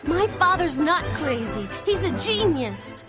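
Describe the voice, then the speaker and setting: A woman's voice: high voice, sing-song, measured pace. An actress playing a spirited, bookish young village woman with an earnest voice, from a film soundtrack with music. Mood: defiant.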